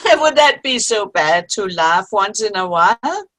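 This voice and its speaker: A woman's voice: mid-range voice, big pitch swings, measured pace, a bit bright. A woman playing a cheerful, teasing grandmother among old friends in an amateur comedy play reading. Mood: amused. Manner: good-humored, teasing. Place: online video-call play reading.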